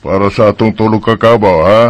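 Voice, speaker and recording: A man's voice: fairly low pitch, fairly even intonation, no room sound, natural voice. A man playing a rural farmer father who gives careful instructions, in a Bisaya radio drama with a Cebuano accent. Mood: matter-of-fact, cautioning. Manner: unhurried instructions, ends with checking tags.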